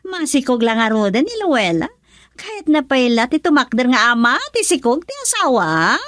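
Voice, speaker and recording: A woman playing an outspoken, meddling, expressive character in an Ilocano radio drama, with a Filipino accent. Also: sits high, moderate pace, slight background noise. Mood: nosy, provoking.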